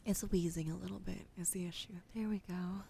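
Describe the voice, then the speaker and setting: A woman livestreaming ASMR in a soft, breathy whisper and hushed casual chat, with a slightly weak, wheezy throat, speaking gently to her viewers up close to the mic. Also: on the low side, fairly even intonation, fairly quick, a little hiss or hum. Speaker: streamer hosting a live ASMR broadcast.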